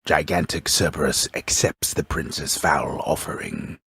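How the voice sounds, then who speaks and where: A male actor playing a grave, pompous storyteller in a video game, narrating a mythic epic in an ornate, deliberate, dryly wry manner with a gravelly voice. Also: extremely low pitch, fairly even intonation, a bit bright, dry recording, studio quality, heavily acted. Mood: solemn, portentous. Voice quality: gravelly, husky. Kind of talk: theatrical scripted narration.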